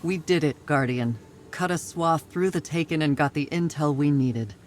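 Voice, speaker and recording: A woman's voice: deep voice, moderate pace, natural voice. An actress playing a battle-weary, resilient woman soldier in a video game, speaking plainly and reflectively with a wry edge. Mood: relieved, resolute. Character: battle-weary, resilient female soldier, tough but tender. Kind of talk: dramatic video game cutscene acting. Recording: music or faint ambient noise behind.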